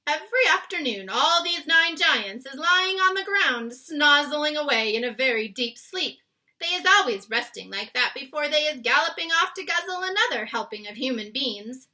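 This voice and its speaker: A woman voicing a big, excitable friendly giant who exclaims in mangled, folksy grammar in a storybook reading. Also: high voice, moderate pace, pitched above the performer's own voice, exaggerated voice. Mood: eager explaining.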